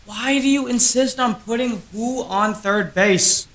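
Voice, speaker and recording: A woman's voice: on the high side, a little slow, fairly bright tone. A young woman in a student radio play, playing the matter-of-fact straight man of a comedy double act with unbudging answers. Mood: mildly impatient. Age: young adult. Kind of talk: comic double-act stage patter.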